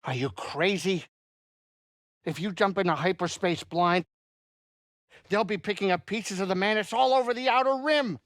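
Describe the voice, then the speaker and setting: Grumbly old alien pilot dude for a video game, bright creaky voice, folksy wisecracker with a big heart. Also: male voice, high voice, normal speed, pauses often, no echo, clean studio sound. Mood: alarmed. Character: grumbling, warm-hearted older alien pilot and saloon keeper. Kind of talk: expressive video game character acting.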